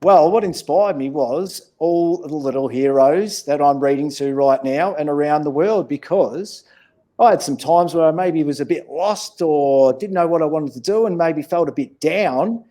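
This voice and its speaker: A man's voice: on the high side, normal speed, warm tone. A man with an Australian accent answering questions in a casual, encouraging, plain-spoken way as a children's author. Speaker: children's author, interview guest.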